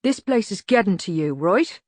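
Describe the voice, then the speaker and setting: Woman playing an impatient, gruff male gang boss. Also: mid-range voice, expressive, moderate pace, darkish tone, dry recording, lightly acted. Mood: firm. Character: exasperated male gang leader losing his temper. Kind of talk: audiobook character voice.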